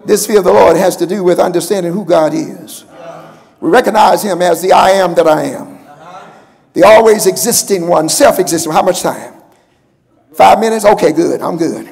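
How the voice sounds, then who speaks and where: An older man with a gravelly voice, preaching an impassioned, emphatic sermon and calling on his congregation. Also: high voice, moderate pace, darkish tone. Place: large church sanctuary, at a pulpit. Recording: church hall with long reverb.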